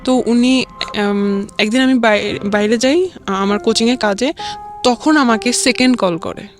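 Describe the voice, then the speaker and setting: A woman's voice: a little high, unhurried, faint music behind. A young woman with a Bangladeshi accent tells a personal story in a casual, conversational way, often acting out quoted dialogue. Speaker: guest telling a personal life story.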